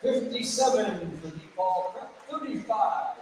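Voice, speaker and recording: A male public-address announcer speaks slowly through gym loudspeakers. His fairly high voice arrives from far off, swimming in heavy echo. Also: expressive. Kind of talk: slow PA announcement. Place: large indoor gym, over loudspeakers. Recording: distant, echoing PA in noisy gym.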